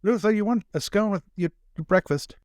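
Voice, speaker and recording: A man's voice: high-pitched, moderate pace, a little mellow, no echo, clean studio sound, voice pushed up, natural voice. A man improvising a fussy, chatty, drily teasing bodyguard and former fencing professor in a tabletop roleplay. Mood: fussy, domestic.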